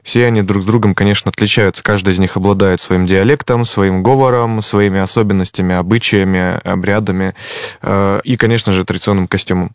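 A man, an ethnologist guest in an interview, explaining at a measured pace with frequent hesitation sounds. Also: low-pitched, fairly even intonation, no room sound, studio quality.

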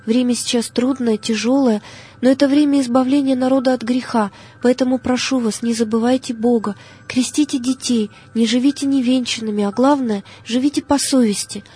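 A woman's voice: on the high side, steady tone, fairly quick, mellow, slightly rough. A woman narrator reading the words of a devout, defiant young male preacher in an audiobook, earnest and plain-spoken. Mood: earnest, exhorting.

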